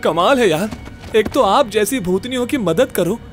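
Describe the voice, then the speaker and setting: A man's voice: sits high, expressive, normal speed. A man playing a bold, exasperated ghost-case solver in a horror-comedy audio drama, blunt and insistent, mixing in English. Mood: wry. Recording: music bed behind.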